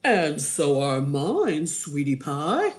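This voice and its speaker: A woman's voice: low-pitched, very animated, takes it slowly, a little mellow, exaggerated voice. A woman voicing a henpecked, lazy wizard husband in a storybook, cooing sweet talk at his wife. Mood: sweetly agreeable. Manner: cooing pet names.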